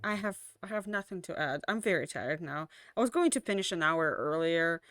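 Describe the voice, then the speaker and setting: A young woman streamer chatting casually at the end of a stream, rambling with lots of fillers and restarts, tired and slowly losing her voice. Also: on the low side, lively intonation, a bit bright, no echo, studio quality.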